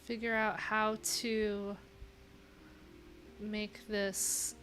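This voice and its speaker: A woman's voice: mid-range voice, level tone, drawn-out words, a bit bright, a little hiss or hum. A woman in her thirties hosting a casual live coding stream, playful and thinking aloud in fragments. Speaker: live-stream host and web developer. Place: indoor room, live stream. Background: guitar music.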